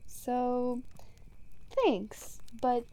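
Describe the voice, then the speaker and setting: A woman's voice: fairly high pitch, flat delivery, drawn-out words, mellow, rough recording. A woman playing a smug, sarcastic female supervillain in an ASMR roleplay, drawling and teasing as she taunts a hero. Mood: dismissive. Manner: teasing, drawling, sardonic, casually profane. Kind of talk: ASMR roleplay audio drama monologue.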